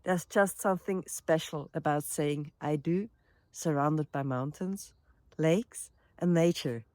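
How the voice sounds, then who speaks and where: A woman with an Austrian accent and a slightly low voice introduces her work as a wedding celebrant. Her delivery is scripted but personal, with reflective, measured phrasing. The recording is dry, with very little room sound. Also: a little mellow, no echo. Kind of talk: promotional self-introduction, scripted narration to camera.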